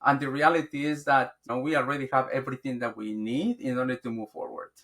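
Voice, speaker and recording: A man's voice: mid-range voice, steady tone, fast talker, fairly bright tone. A middle-aged man, a podcast guest speaking earnestly and often restarting his words. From Guatemala. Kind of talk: reflective interview talk.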